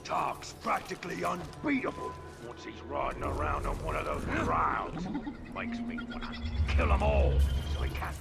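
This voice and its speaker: An actor playing a brutish, dim, bloodthirsty orc in a video game, gravelly, crude and menacing. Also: male voice, medium pitch, wildly swooping pitch, normal speed, over loud music, heavily acted. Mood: scheming, grumbling. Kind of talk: video game creature acting.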